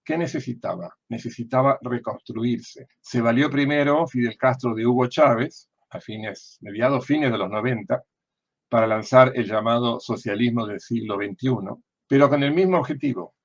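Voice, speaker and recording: An older man with an Argentine accent giving political commentary in a deliberate, didactic way, often posing questions and answering them himself. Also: mid-range voice, lo-fi sound. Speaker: former diplomat and political analyst.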